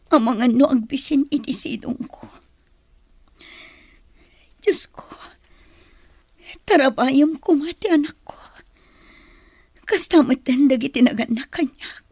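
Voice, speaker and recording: Woman acting slow, high-voiced anguished mother. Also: dark tone, dry recording, clean studio sound. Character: anguished mother giving up her child for adoption. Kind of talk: Ilocano radio drama acting.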